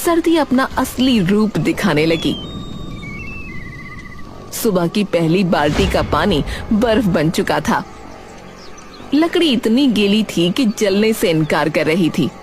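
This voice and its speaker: A woman's voice: mid-range voice, big pitch swings, slow pace, pauses often, darkish tone, faint music behind. A woman narrating a scripted cartoon story.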